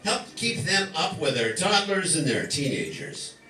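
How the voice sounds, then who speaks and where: A man's voice: fairly high pitch, very animated, a little slow, sharp tone. A man announcing a live show as master of ceremonies, jovial and joking. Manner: joking, chuckling. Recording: roomy distant stage recording, music behind.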